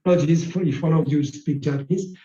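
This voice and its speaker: A man whose first language is French gives a slide lecture on manufacturing, speaking haltingly with many fillers. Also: fairly high pitch, dark tone, echoey room, muffled recording.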